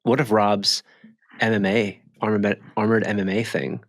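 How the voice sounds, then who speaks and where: A man's voice: fairly low pitch, little pitch movement, normal speed, no room sound, clean studio sound. A man co-hosting a podcast, chatting casually in a slangy, emphatic, bantering way. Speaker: podcast co-host. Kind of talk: casual chat.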